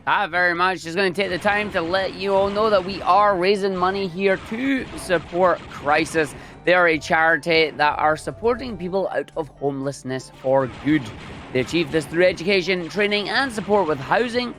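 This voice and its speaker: A man's voice: sits high, moderate pace, fairly bright tone, soft background music. A man hosting a gaming marathon, reading a charity appeal aloud in an informative way.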